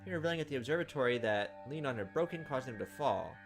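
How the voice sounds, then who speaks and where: Male gaming host, lively pitch movement, narrates on-screen text and drops casual asides, music underneath. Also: racing. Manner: informal, playful asides. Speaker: let's play host. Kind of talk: reading game text aloud with casual commentary. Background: game music.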